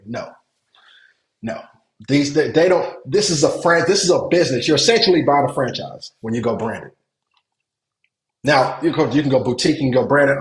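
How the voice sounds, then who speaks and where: Man from Nigeria, hotel investing coach, webinar teaching, repeats for emphasis, echoey room. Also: mid-range voice. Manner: repeats words for emphasis, asks if it makes sense.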